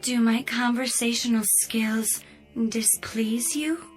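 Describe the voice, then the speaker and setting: An actress playing a polite, solicitous spaceship computer in a sci-fi radio drama, measured and literal-minded with a needling edge. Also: female voice, a little high, soft background music. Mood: mock-innocent.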